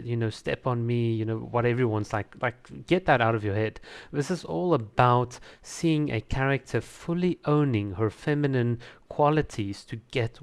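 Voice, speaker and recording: A man hosting a video review, talking casually and conversationally with opinions and enthusiasm. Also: mid-range voice. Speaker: host of a video channel reviewing game trailers. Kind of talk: casual reaction commentary.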